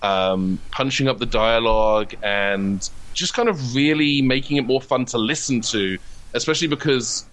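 A man's voice: medium pitch, normal speed, fairly bright tone, low-quality recording. A British man from the UK talking casually as an interview guest, explaining his writing in a self-deprecating way. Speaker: guest, comedy writer.

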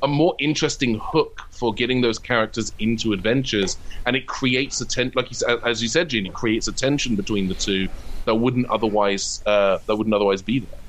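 A man's voice: on the low side, a little fast, a bit bright, poor audio. A man interviewed as a writer and voice actor, explaining his work reflectively and at length, often restarting his phrases. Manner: reflective, explains at length with repeated false starts. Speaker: guest, comedy writer and voice actor. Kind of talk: conversational interview.

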